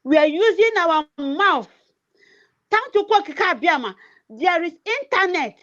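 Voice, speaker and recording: A woman's voice: very high voice, lively intonation, unhurried, fairly bright tone, muffled recording. A woman with a Nigerian accent, English not her first language, ranting passionately in a political livestream, repeating herself with many "eh" fillers. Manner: repetitive, emphatic, heavy filler "eh". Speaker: activist livestreamer. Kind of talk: impassioned political rant.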